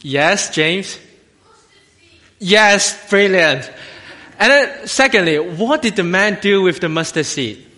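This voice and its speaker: Halting Hong Kong man preaching, bright voice. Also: high voice, lively intonation. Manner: halting, jokey, self-deprecating. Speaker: assistant lay minister preaching. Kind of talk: informal children's sermon to a congregation. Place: church, speaking to a congregation. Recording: long hall reverb.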